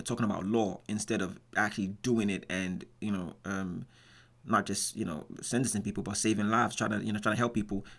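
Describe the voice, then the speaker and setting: An American man with a low, gravelly voice riffs through a loose reaction commentary. He circles his points with restarts and trailing fillers. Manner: rambling, restarts often, drawn-out fillers. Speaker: reaction channel host.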